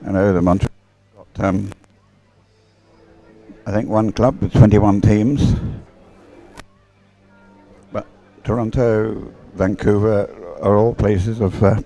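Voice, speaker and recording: A man's voice: on the low side, fairly even intonation, slow, long pauses, warm tone, faint music behind, rough recording. A man giving live race commentary in a rambling, hesitant way, as if thinking aloud.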